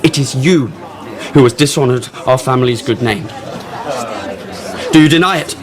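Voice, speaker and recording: An actor playing a proud, indignant young gentleman, hot-headed about honour, in a radio drama. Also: medium pitch, moderate pace, a crowd in the background, lightly acted. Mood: furious challenge. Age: young adult.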